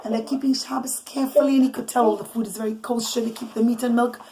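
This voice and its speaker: A woman with a fairly high voice tells a bedtime story as a mother would to her children. She is animated and conversational, improvising as she goes and dropping in Hebrew and Yiddish religious words. There is some background noise on the homemade recording. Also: fast. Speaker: mother telling bedtime stories to children and listeners. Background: noise, clicks, small children nearby.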